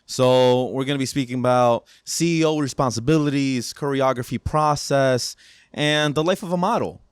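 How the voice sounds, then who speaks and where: A man's voice: medium pitch, moderate pace, smooth, dry recording, clean studio sound. Easygoing man hosting a podcast. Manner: loose, joking, repeats himself. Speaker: podcast host. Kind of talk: casual interview hosting.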